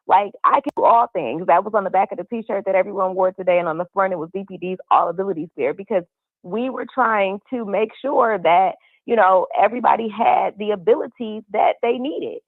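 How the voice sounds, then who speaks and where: A hoarse woman, a police community liaison, speaking earnestly and upbeat with many fillers over the phone. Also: mid-range voice, moderate pace, no echo. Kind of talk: phone-in interview. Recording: tinny phone line.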